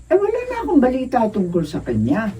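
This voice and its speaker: Animated, high-voiced Filipino aunt played by a woman. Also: a little fast, husky, exaggerated voice. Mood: chatty. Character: chatty, friendly provincial aunt. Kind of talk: Filipino radio drama acting. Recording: distant mic, faint background noise.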